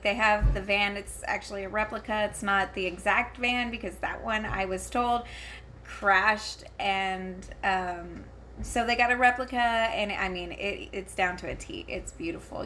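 A woman's voice: medium pitch, moderate pace, sharp tone, slight background noise. A woman, chatting casually at home, rambling with lots of fillers and restarts and giggly asides. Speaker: vlogger reviewing a convention. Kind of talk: casual talking-to-camera chat. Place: home, her daughter heard elsewhere in the house.

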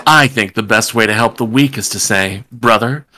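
A man's voice: on the low side, normal speed, bright, forceful tone. A man reading a script aloud at a table read on a video call, irreverent and jokey, with sweary asides. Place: home room on a group video call.

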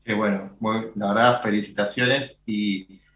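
Rambling Argentine host with roomy sound. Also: male voice, fairly low pitch, fairly even intonation, a little slow, fairly bright tone. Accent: Rioplatense Spanish. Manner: rambling questions, repeats words and restarts. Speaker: TV show host. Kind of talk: interviewing a guest over a video call. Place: indoor studio with branded backdrop. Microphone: headphones with video call.

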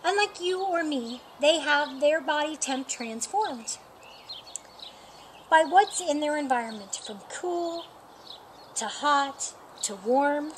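A middle-aged woman with a high voice reads a rhyming picture book aloud for storytime. She takes her time, shaping each phrase deliberately and expressively. She is outdoors, with clear birdsong and ambient noise around her. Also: animated. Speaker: storytime reader. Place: outdoors in a sunny yard by a painted wall.

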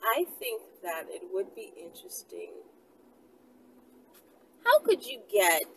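A woman's voice: medium pitch, wildly swooping pitch, takes it slowly, fairly bright tone. A woman in her thirties chatting casually and unscripted to viewers, musing aloud in a rambling way with rhetorical questions. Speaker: vlogger, channel host. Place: inside a car by a street, daytime. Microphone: camera at arm's length. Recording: distant mic, noisy car interior.

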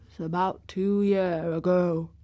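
A man's voice: high-pitched, little pitch movement, warm tone. A woman voicing a dry, stubborn old gardener with a Yorkshire accent in a storybook reading. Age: older. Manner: dry, grumpy, slow dialect speech.

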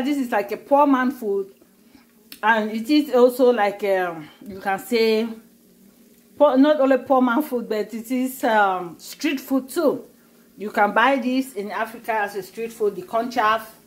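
Middle-aged woman, cooking vlog host, talks casually through steps in her kitchen on a phone camera. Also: fairly high pitch. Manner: chatty, explains step by step with many fillers. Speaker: cooking vlogger. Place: home kitchen.

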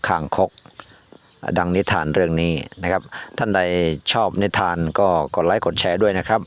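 A man telling an animal folk tale informally, in halting, repetitive phrases with spoken sound effects. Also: sits low, steady tone, fairly bright tone. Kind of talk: informal, improvised animal storytelling.

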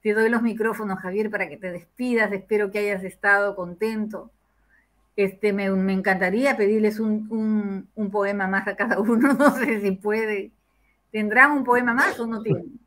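A woman's voice: medium pitch, steady tone, moderate pace, fairly bright tone. An older woman with a Peruvian accent, hosting a casual interview over a video call from a room at home. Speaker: host. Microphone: computer or webcam on a video call.

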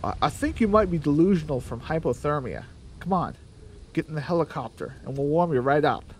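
A man playing a brisk, skeptical male rescue firefighter in a radio drama. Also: a little high, expressive, slight background noise. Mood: puzzled, skeptical.

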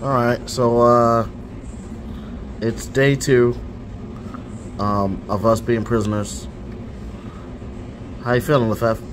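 A man's voice: medium pitch, little pitch movement, slow, long pauses, noisy background. A man filming a road trip with friends, teasing and joking as he narrates. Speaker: band member vlogging. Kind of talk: casual group vlog.

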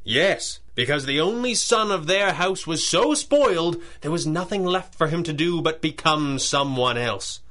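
A brooding masked hero of old radio adventure. His voice is bright and forceful, his speech deliberate and articulate, with the authority of a wealthy boss. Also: fairly high pitch, expressive. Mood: brooding, confessional. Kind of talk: 1930s radio adventure drama acting.

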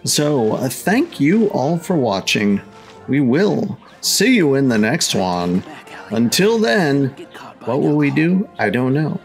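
A man's voice: medium pitch, very animated, slow pace, faint music behind. A man hosting a casual gaming let's-play, with playful interjections and exclamations. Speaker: gaming host.

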